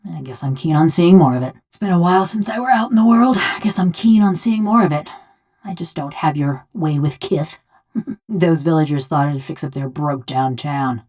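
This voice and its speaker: Low-voiced, folksy, sardonic golem woman. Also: normal speed, darkish tone. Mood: wry fondness, then guarded, wistful. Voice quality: low-pitched, folksy rural speech. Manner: wry, plain-spoken, dryly mocking. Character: rustic, sardonic, vengeful female killer's soul in a golem body. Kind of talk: video game character dialogue.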